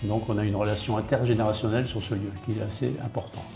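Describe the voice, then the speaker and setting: Low, creaky-voiced man, echoey room. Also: level tone, fast talker. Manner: matter-of-fact, enumerating, repeats and rephrases. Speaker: small-town mayor being interviewed. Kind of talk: explanatory interview answers. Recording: roomy, echoey sound under guitar music.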